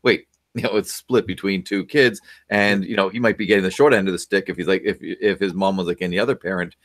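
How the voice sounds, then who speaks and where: A man's voice: deep voice, steady tone, quick pace. A middle-aged man giving parenting advice over a video call from a home office, lecturing and asking many questions. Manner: lecturing, asks many questions, frequent fillers. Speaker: parenting expert guest.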